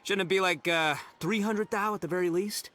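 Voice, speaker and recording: A man's voice: high voice, steady tone, a little fast, sharp tone, slight background noise. A man voicing a brash, dim, big-hearted young gang boss who blusters and bumbles theatrically in a video game. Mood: excitable, blustering.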